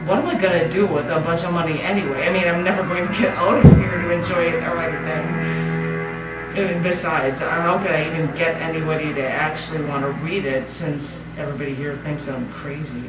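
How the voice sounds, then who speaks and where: Far from the mic in an echoey venue with loud music behind, a restless woman prisoner acted out by a woman on stage rambles through a monologue. She sounds bitter and defensive, stumbling over her words as if confiding in someone. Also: low voice, lightly acted. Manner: halting, rambling, conversational with stammers. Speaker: poet performing live. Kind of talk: dramatic monologue read on stage. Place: small cabaret venue, live show.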